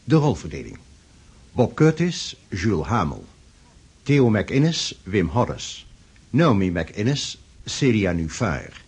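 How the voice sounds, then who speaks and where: Male announcer: low, very husky, unhurried, formal credits read. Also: big pitch swings, a little mellow. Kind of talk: scripted radio credits announcement.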